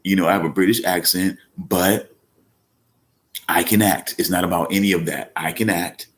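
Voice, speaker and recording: Male podcast host, very low voice, loose rambling delivery, casual live-show chat. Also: fairly even intonation, moderate pace. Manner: rambling, with many fillers and restarts; speaks up when emphatic. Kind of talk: casual live-stream talk.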